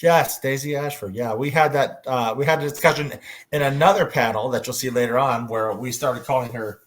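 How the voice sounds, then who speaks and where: Halting male panel moderator. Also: medium pitch. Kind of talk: casual panel discussion.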